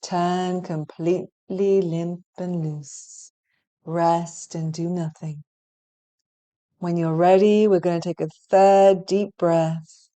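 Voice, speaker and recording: A woman leading a guided relaxation meditation in a soothing, gentle and measured manner, leaving long pauses between phrases. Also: on the low side, steady tone. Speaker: hypnotherapy practitioner guiding meditation. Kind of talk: guided relaxation meditation, hypnotic scripted narration.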